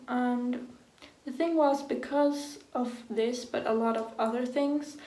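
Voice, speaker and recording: A woman's voice: a little high, darkish tone, echoey room. A young woman from Sweden speaking English with a Swedish accent as a second language, talking casually and openly to camera, swearing freely and filling with "like". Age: young adult. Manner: casual, swears freely, heavy use of "like", nervous laughs. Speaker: vlogger. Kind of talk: personal confessional talk to camera.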